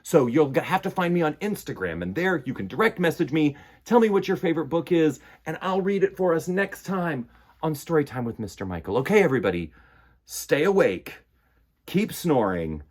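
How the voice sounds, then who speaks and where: A man's voice: mid-range voice, animated, normal speed. A man hosting storytime for children, reading and talking to young listeners in a playful, theatrical way. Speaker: children's storytime host and reader. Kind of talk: picture book reading and chat to children.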